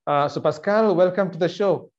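A man hosting a finance interview podcast, introducing his guest in a measured way with frequent fillers. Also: high voice, muffled recording. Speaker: podcast host.

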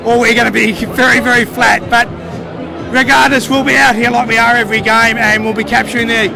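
An Australian man hosting live fan interviews outdoors, enthusiastic and rambling, his voice croaky. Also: extremely high pitch, level tone, a little fast. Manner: enthusiastic, rambling, repeats words. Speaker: fan show host. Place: outdoors at a crowded pre-game bar. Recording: loud crowd and music behind. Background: crowd noise and music.

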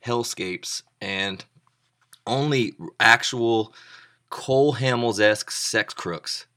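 A young man hosting a show with loud, joking banter and mock-showman flourishes. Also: medium pitch, takes it slowly. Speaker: podcast host. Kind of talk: comedic casual chat.

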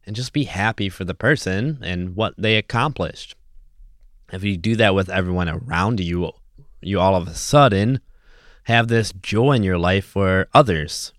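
A man's voice: fairly low pitch, no echo, studio quality. A man hosting a podcast, talking casually and earnestly in an encouraging, motivational way. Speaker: podcast host. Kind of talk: casual motivational solo talk.

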